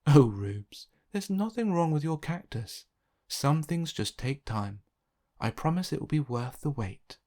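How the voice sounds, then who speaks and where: Guy doing a patient mom in a kids book, gentle and reassuring. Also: mid-range voice, very animated, normal speed, natural voice.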